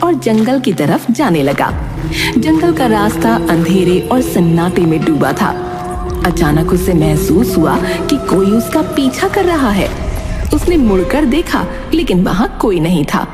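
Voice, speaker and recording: A woman's voice: mid-range voice, big pitch swings, unhurried. A woman giving scripted, dramatic narration of a cartoon story. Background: music.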